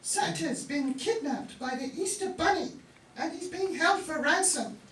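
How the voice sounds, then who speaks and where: A woman's voice: high voice, animated, measured pace, fairly bright tone. A woman playing a bossy, motherly, decisive Missus Claus with dramatic delivery in an amateur comic radio play. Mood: earnest. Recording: echoey room, distant mic, faint noise.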